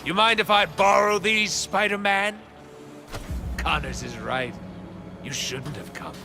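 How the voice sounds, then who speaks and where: An arrogant scientist villain for a video game. He speaks slowly and theatrically, with big melodic swings and a grandiose menace. His voice rings in a long reverb over music. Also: sits high, lively intonation. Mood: gloating, menacing. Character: arrogant, obsessed male scientist villain building nanobots. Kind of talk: video game cutscene acting.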